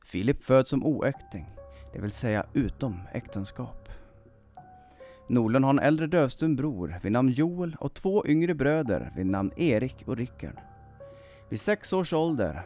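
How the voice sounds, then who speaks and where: A man in his thirties reading a scripted true-crime story in a measured, dramatic storytelling manner. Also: medium pitch, warm tone. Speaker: storyteller, channel host. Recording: music under the voice. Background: background music.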